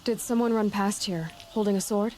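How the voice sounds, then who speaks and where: A woman playing a determined young huntress in a video game, speaking in a measured way as if thinking aloud. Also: mid-range voice, a little mellow, slight background noise, natural voice, rough recording. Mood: questioning. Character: determined young female huntress tracking a thief.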